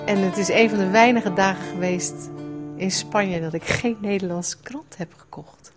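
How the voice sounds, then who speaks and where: A woman's voice: mid-range voice, moderate pace, over music. A middle-aged woman, telling a personal recollection in a conversational, reflective way. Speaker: writer, guest presenting a personal radio piece.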